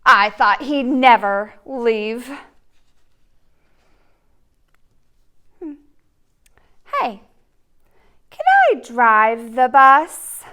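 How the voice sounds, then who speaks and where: A woman's voice: fairly high pitch, extremely slow, long pauses, pushed and bright, clean tone, highs cut off, heavily acted. A woman voicing a childlike, wheedling pigeon from a storybook, begging and bargaining with a tantrum streak.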